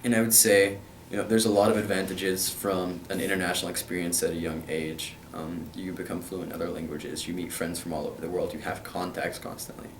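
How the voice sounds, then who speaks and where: A man's voice: low-pitched, level tone, brisk, few pauses, roomy sound, distant mic, a little hiss or hum, low-quality recording. A young man from Kentucky answering interview questions about his school in an earnest way, with plenty of hesitant fillers. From Kentucky, USA.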